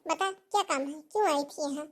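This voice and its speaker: A woman's voice: high-pitched, moderate pace, close to an everyday voice. A woman playing a scheming, scornful older mother who scolds and taunts in a Hindi cartoon moral story. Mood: scornful scolding.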